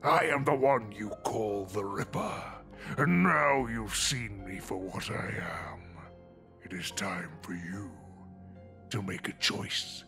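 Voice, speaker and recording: A man playing a monstrous vampire killer in an audio horror drama, gloating and commanding, with a gravelly, theatrical, menacing delivery. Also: lowish voice, slow pace, sharp tone, faint music behind, heavily acted. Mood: gloating menace.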